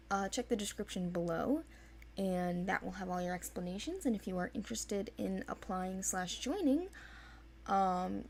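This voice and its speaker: A woman giving an informal, unscripted explanation in a hesitant, rambling way, as a casting organizer. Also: mid-range voice.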